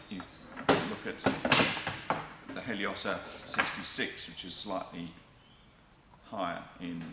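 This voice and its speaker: A man presenting a product demonstration in a deliberate, explanatory way with emphatic stresses. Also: low-pitched. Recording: echoey room, faint background noise.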